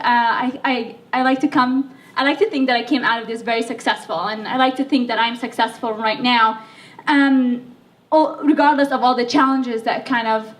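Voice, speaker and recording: Chatty high-voiced woman, heard from afar. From Libya. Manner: chatty, self-deprecating, with fillers. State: sick. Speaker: speaker. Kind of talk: speech to an audience. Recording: voice from far off the mic.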